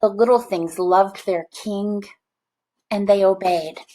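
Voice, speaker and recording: Slow-paced woman reading a storybook. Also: medium pitch, noisy background. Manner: expressive, addressing young listeners. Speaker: storybook reader. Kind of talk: animated read-aloud of a children's picture book with chatty asides.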